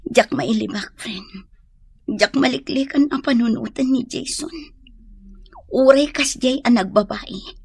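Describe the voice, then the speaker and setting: Husky, heartbroken young Ilocano woman. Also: a little high, unhurried, long pauses, a little mellow, dry recording, studio quality, heavily acted. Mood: sorrowful. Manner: plaintive, emotional. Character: heartbroken, lovesick young mother clinging to unfaithful partner. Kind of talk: Ilocano radio drama acting.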